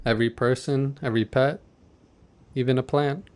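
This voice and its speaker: A man leading a guided meditation in a gentle, measured, softly instructive manner. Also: mid-range voice, fairly even intonation.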